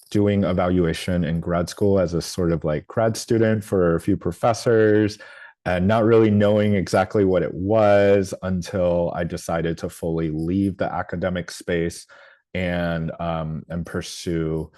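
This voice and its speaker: A man's voice: low-pitched, mellow, dry recording, studio quality. A man from New Orleans leading a training workshop over a video call, talking his way through with frequent ums and restarts. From New Orleans, United States. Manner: hesitant, lots of ums and restarts. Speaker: workshop presenter. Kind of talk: live training presentation.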